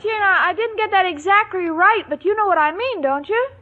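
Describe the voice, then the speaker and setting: Very high-pitched, sweet scatterbrained girl. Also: lively intonation, a little fast, some chatter behind. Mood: flustered, earnest. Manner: naive, earnest, muddles her words. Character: sweet, scatterbrained, lovestruck young woman. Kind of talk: 1940s radio sitcom acting. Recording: dull old radio recording, studio audience.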